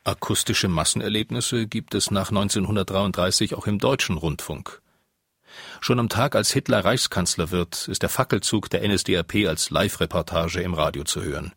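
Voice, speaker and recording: A man's voice: deep voice, normal speed, vocal fry, fairly bright tone, husky, no room sound, studio quality. A man narrating a scripted radio documentary.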